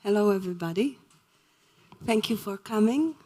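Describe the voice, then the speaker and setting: A woman from Poland giving a live lecture, speaking slowly and hesitantly with many fillers. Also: mid-range voice, expressive, a little mellow. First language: Polish.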